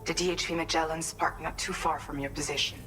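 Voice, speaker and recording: A woman's voice: low-pitched, steady tone, quick pace, a bit bright, faint music behind, low-quality recording. An actress playing a supportive female mission teammate in a video game, encouraging and instructive. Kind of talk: scripted game dialogue.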